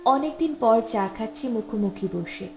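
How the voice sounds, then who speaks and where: A courteous married man in an audiobook tale, read by a woman. He banters and politely demurs as a guest does, in a highish voice with strong room echo. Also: lively intonation, normal speed, faint music behind. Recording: roomy, echoing voice recording.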